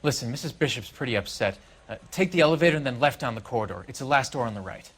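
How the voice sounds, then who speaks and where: Husky, fast hotel clerk. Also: male voice, medium pitch, lively intonation, fairly bright tone. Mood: helpful, uneasy. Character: helpful, slightly flustered male hotel clerk. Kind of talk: video game drama acting.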